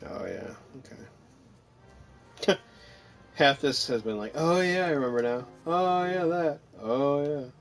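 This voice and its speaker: A man's voice: fairly high pitch, expressive, unhurried, long pauses, soft background music. A man giving casual, wry, mildly sarcastic commentary as he plays through a game. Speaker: gamer narrating own playthrough.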